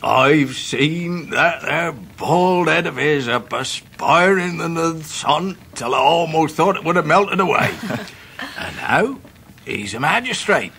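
A man's voice: on the high side, animated, takes it slowly, gravelly, sharp tone, slight background noise, heavily acted. An actor playing a hearty, humble old countryman with a rustic English accent and folksy storytelling in a radio drama. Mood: amused. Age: older. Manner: folksy dialect, chuckling storyteller. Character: hale grey-haired old countryman, hearty, humble and warm. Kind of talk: 1990s radio drama acting.

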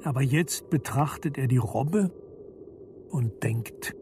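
A man reading literary narration for a radio play in a measured, deliberate storytelling manner. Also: medium pitch, background noise. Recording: studio narration over prominent music. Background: music.

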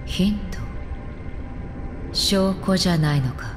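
Low-voiced, stoic, mysterious swordswoman. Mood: cool, analytical. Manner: calm, measured, blunt. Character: stoic, solemn young swordswoman, cool and mysterious. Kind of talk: scripted anime game voice acting. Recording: long reverb under music. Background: background music.

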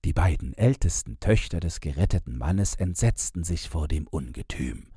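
A man, narrating a bedtime fairy tale in a measured, gentle storytelling manner, close to the microphone. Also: deep voice, lively intonation, slightly rough, no echo. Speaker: audiobook narrator.

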